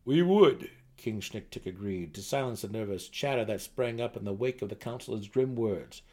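A man's voice: lowish voice, a little fast, darkish tone. A man reading a fantasy novel aloud as narrator, in a dramatic, scripted audiobook style.

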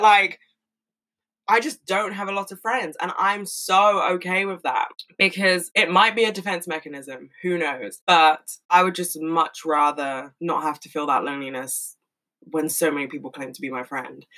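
A woman in her twenties talking casually and frankly, swearing a lot and rambling with many fillers, in a fairly monotonous voice. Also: sits low, rough recording. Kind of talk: casual monologue.

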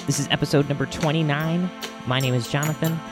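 A man hosting a podcast, giving a measured, presentational introduction. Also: on the high side, steady tone, over music. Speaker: podcast host.

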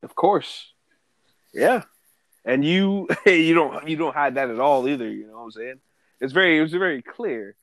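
A man from Brooklyn hosts a relaxed interview, talking in loose street slang. His voice sits close to the mic with a dry, roomless sound. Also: a little high, unhurried, no echo, low-quality recording. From Brooklyn, New York. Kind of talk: casual interview conversation.

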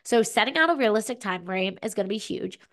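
A young woman talks through fitness advice in a loose, chatty way, full of "like" and "you know". She sounds a bit stuffed up from a cold. Her voice sits at an ordinary middle pitch. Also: animated, fast talker. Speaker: podcast co-host, fitness coach. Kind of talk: casual advice chat.

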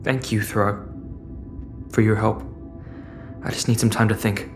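A man's voice: lowish voice, flat delivery, measured pace, music in the background. An actor playing a wounded, distrustful teenage boy in a sci-fi audio drama. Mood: distressed.

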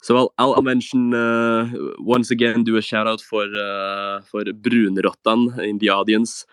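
A man from Norway talking in a live audio room, halting with many filler sounds as he speaks. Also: lowish voice, level tone, fairly bright tone, clean tone, dry recording. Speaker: guest speaker.

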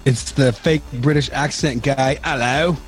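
A man's voice: mid-range voice, fairly even intonation, measured pace, soft background music. A man on a podcast panel telling a rambling, jokey story, then asking a question. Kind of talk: casual chat.

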